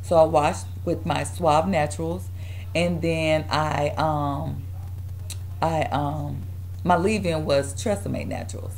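A young woman, her voice hoarse and raspy from yelling, talks through a hair tutorial at an unhurried pace. Her voice sits a little low for a woman. She is recorded on a close webcam in a dining room, with a faint hum behind her. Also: fairly even intonation. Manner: chatty, rambling, with fillers and restarts. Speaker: vlogger. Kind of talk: casual hair tutorial chat. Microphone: webcam at close range.

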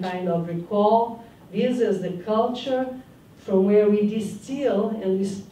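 A woman's voice: medium pitch, dark tone, a little hiss or hum. An older woman speaking on a panel, searching for words and adding "no?" tags, on a handheld mic in a conference room. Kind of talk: panel discussion talk. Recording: roomy hall from a distant mic.

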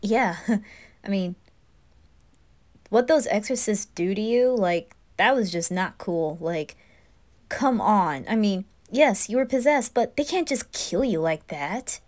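Nervous anime boy, female performer: mid-pitched, unhurried, skeptical, casual, good-hearted fan roleplay. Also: expressive, a little mellow. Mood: sympathetic. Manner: hesitant, exasperated, casual.

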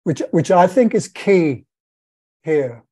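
An older man interviewing on a video call from a room at home, speaking in eager, quick bursts with repeated words. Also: on the high side, animated, mellow, husky. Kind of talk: conversational interview.

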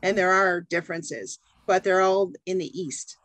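A woman's voice: fairly low pitch, a little slow, a bit bright, no echo, poor audio. Midwestern American woman, enthusiastic teacher, dry close-miked webcam audio. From Chicago, USA. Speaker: English teacher. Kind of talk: video call conversation. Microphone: video call webcam.

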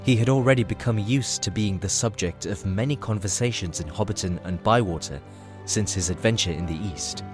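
A man's voice: lowish voice, fairly quick. A man reading scripted storybook narration for an audio drama. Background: music.

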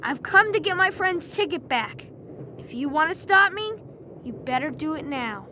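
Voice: high-pitched, expressive, normal speed, pushed and bright, slight background noise, exaggerated voice. An actor playing a brave, reassuring young boy in a video game, speaking in an earnest, encouraging way. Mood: determined. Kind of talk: video game cutscene acting.

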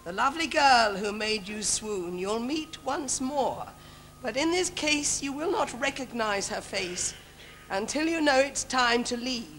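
A woman playing a kindly, forgetful fairy godmother, declaiming rhyming verse deliberately on a pantomime stage. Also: on the high side, a bit bright, slight background noise, low-quality recording. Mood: grand, soothing. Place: large theatre stage, indoors.

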